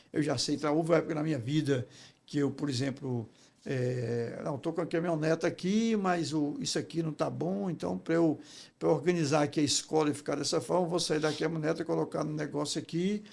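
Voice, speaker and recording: A man's voice: a little high, brisk, vocal fry, darkish tone. A Brazilian man speaking as an interview guest and public speaking teacher, telling stories in an emphatic, didactic way and often checking for agreement. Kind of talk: conversational storytelling in an interview.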